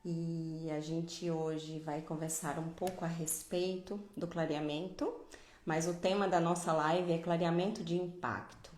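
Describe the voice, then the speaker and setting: A woman's voice: lowish voice, level tone, measured pace, a little mellow, echoey room. A middle-aged woman from Pernambuco, Brazil, giving a live online dentistry lecture in a didactic way, often adding tag questions. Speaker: dentistry professor.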